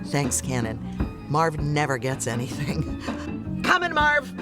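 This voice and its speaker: A woman playing a sassy, excitable diner waitress with a blunt, exclamatory manner in an audio drama. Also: low-pitched, slow, loud music behind the voice, heavily acted. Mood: bossy.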